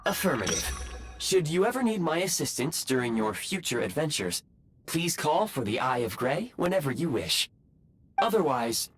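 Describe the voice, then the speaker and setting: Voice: mid-range voice, slightly rough. An actor voicing a polite, dutiful robot guide in a video game, speaking in a formal, precise and courteous way. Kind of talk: scripted video game voice acting. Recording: music behind the voice.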